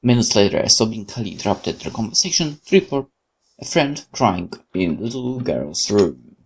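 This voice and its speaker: Polish-native man, let's play host, reads a horror story game aloud, gravelly, lively intonation, corrects himself. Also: on the low side, moderate pace. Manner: lively reading with self-corrections and asides. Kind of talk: reading a horror visual novel aloud.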